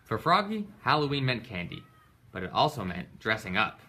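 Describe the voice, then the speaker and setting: A man's voice: medium pitch, very animated, moderate pace, bright, forceful tone. A man reading a children's picture book aloud in a dramatic way, with emphatic sound-effect words. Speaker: storybook reader. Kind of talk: animated children's read-aloud.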